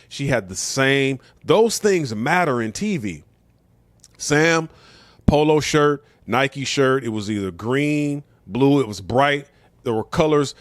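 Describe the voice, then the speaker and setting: A middle-aged man with an American accent and a medium-pitched voice holds forth in a casual monologue. He is blunt and slangy, often tossing out rhetorical questions. The sound is dry, with little room in it. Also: no echo. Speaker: comedian and talk show host.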